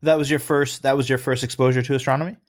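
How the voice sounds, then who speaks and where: A man's voice: medium pitch, fairly even intonation, quick pace. A man interviewing a guest conversationally, often chiming in with short acknowledgements.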